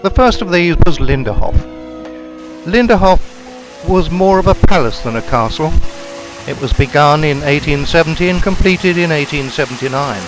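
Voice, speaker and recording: A man reading a scripted travelogue voice-over in a measured, descriptive storytelling way. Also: a little high, expressive. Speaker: travelogue narrator. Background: background music.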